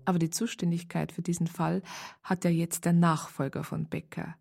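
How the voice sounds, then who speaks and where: Casting: sober police psychologist, slightly low voice, measured, wry, radio crime play. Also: female voice, level tone, creaky, a little mellow, faint music behind. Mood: wry, matter-of-fact. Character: sober, wryly observant female police psychologist. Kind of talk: radio drama narration and dialogue.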